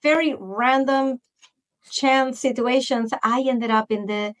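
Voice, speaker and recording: A woman in her forties with a Venezuelan Spanish accent talks as an interview guest. Her voice sits a little high, and she is lively, restarting phrases and jumping her pitch way up for quoted asides. Spanish is her first language. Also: slow pace. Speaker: guest, marketing expert. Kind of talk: casual interview chat.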